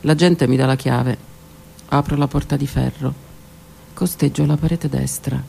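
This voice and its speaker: A woman's voice: a bass voice, vocal fry, warm tone, slight background noise. A woman performing an introspective theatrical monologue for radio, delivered dramatically and at times dropping to a whisper. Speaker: stage performer. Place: stage or studio performance.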